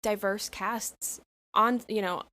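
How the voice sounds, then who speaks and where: A woman co-host with a mid-range voice asks a guest long questions, pausing and circling back as she goes. Her delivery is casual and unhurried. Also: mid-range voice, fairly even intonation, sharp tone. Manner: rambling, hesitant questions with fillers. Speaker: podcast co-host. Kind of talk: interview questions.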